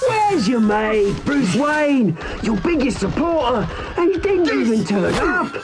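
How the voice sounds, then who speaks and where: A gleeful British game villain, very high and gravelly. The pitch swings widely as he taunts with slow, theatrical menace, hungry for revenge. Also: sing-song, over loud music, heavily acted. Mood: taunting, defiant. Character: gleeful, vengeful British crime boss villain. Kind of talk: video game acting.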